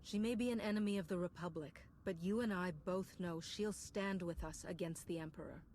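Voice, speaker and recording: A woman playing a calm, resolute Jedi heroine in a video game, composed and firm in manner. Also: on the low side, a little fast, lightly acted. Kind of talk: video game dialogue acting. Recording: background noise and game ambience.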